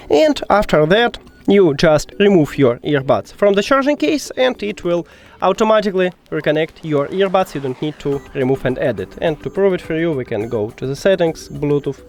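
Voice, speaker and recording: Higher-pitched man giving a tech tutorial over music. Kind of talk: step-by-step how-to narration.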